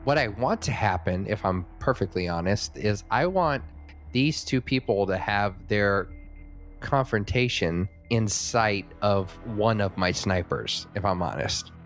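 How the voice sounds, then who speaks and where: A man's voice: lowish voice, lively intonation, measured pace. A man playing a tabletop role-playing game, talking casually as he works out his moves. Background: faint music.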